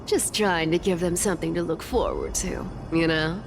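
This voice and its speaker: A woman voicing a sly, flippant thief in a video game, talking in a casual, teasing, expressive way. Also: fairly low pitch, normal speed, close to an everyday voice. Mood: exasperated. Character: sly, flippant female trickster thief, defensive and sassy. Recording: background music under the voice.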